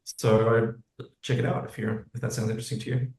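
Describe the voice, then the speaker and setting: A man's voice: medium pitch, flat delivery, fairly quick, darkish tone. A man talking casually as a guest in an interview. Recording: roomy echo.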